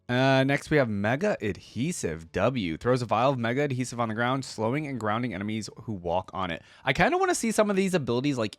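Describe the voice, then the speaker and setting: Male reaction host, mid-pitched, informal and chatty commentary, dry studio-tight sound. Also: dry recording. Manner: informal, chatty, with exclamations and questions. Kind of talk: casual reaction commentary with some reading aloud.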